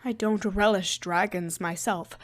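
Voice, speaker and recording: A woman voicing an anxious but loyal male lion in a storybook, with a husky, rumbling, wary delivery. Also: steady tone, a little slow, close to an everyday voice.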